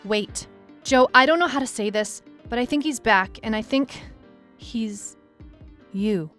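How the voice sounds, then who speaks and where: A woman's voice: fairly high pitch, measured pace, a bit bright. A woman playing a wry, anxious detective who narrates her own case in a noir-like audio drama. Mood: urgent, pleading. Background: music.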